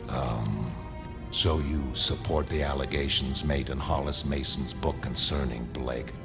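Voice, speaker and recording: A man acting as a gruff, morally rigid masked vigilante who speaks in terse, clipped, formally polite sentences. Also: extremely low pitch, fairly even intonation, measured pace. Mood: cold, formal. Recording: loud music behind the voice.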